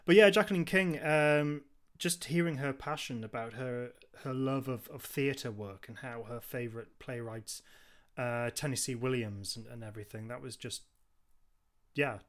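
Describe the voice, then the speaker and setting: A man's voice: mid-range voice, normal speed. A man co-hosting a podcast, chatting casually and enthusiastically, often repeating words as he goes. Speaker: podcast co-host. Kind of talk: casual review chat.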